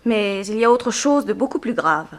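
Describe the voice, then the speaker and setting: A woman's voice: mid-range voice, expressive, normal speed, natural voice. An actress playing a grave, formal, composed young woman in mourning in a 1960s French radio drama. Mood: disapproving. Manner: formal, composed, pointed questioning.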